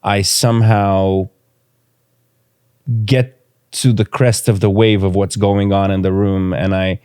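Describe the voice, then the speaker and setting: A man from Romania, a native Romanian speaker, speaking reflectively as an interview guest and pausing thoughtfully to choose his words. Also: deep voice, level tone, warm tone, smooth, no room sound, studio quality. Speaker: podcast guest, filmmaker.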